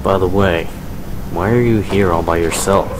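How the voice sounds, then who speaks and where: A man playing a reserved, melancholy young doctor in a radio drama, speaking in a measured, formal way. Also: low voice, noisy background, close to an everyday voice. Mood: polite, reserved. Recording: music under the voice.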